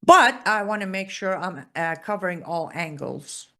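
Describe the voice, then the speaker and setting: A woman's voice: fairly low pitch, animated, moderate pace, fairly bright tone. A woman from Iran, hosting her own political show in an emphatic, sarcastic opinion monologue. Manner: emphatic, sarcastic, punchy repeated phrases. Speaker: political commentator, show host.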